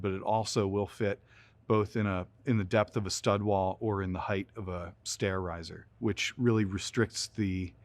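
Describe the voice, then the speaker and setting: A man's voice: lowish voice, no room sound. A man speaking as a technical expert in an interview, explaining a design.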